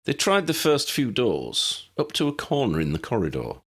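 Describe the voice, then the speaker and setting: A man's voice: medium pitch, wildly swooping pitch, moderate pace, fairly bright tone, slightly rough. A man reading a scripted story aloud as an audiobook narrator.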